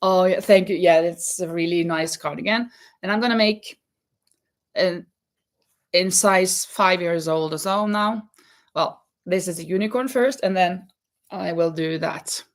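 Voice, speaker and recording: A woman from Norway chats slowly and easily on a crochet livestream. She counts her stitches aloud and reads viewers' comments, laughing now and then. She sits at home with her yarn behind her. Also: on the low side. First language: Norwegian. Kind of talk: casual live chat while crocheting.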